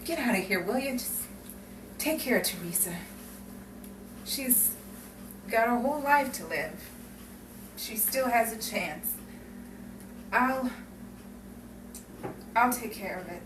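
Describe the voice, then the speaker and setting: A woman's voice: a little high, lively intonation, takes it slowly, long pauses. A woman playing a sardonic, world-weary gangster's girlfriend in a 1950s crime melodrama. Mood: weary, resigned. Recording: echoey room, distant mic, clear noise.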